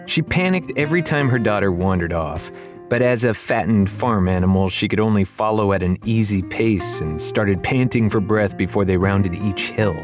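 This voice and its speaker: A man's voice: on the low side, normal speed. Wry male audiobook storyteller over music. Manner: wry, conversational, addressing the listener directly. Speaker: audiobook narrator. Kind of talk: storytelling narration of a children's fantasy novel.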